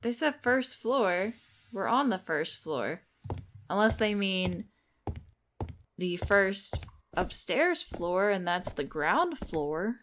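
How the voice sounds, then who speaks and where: A woman's voice: mid-range voice, big pitch swings, slow, fairly bright tone, a little hiss or hum. A woman gamer giving casual commentary on a playthrough, musing aloud and asking herself questions.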